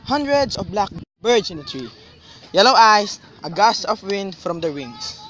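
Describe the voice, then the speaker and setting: A man's voice: high voice, big pitch swings, a little slow, fairly bright tone, exaggerated voice. A man in a student radio drama playing an agitated, skeptical young cousin, incredulous and emphatic. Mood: dazed. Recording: noisy, clicky amateur recording.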